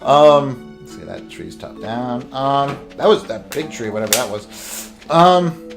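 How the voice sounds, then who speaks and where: Young man, gaming video host, casual storytelling, rambling jokey delivery, mid pitch, prominent game music behind. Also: animated, measured pace, gravelly. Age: young adult. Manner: rambling, jokey, sidetracks himself.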